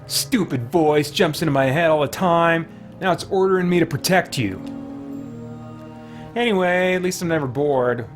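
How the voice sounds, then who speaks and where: A man's voice: on the high side, normal speed, natural voice. A man voicing a cocky, flippant young monster fighter from a video game. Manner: flippant, brash, casual. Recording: music playing behind the voice.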